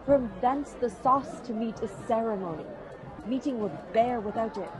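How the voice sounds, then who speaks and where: A woman playing a scornful, controlling queen in a radio drama, with a sharp, scolding manner. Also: a little high, moderate pace, crowd noise, natural voice. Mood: gracious.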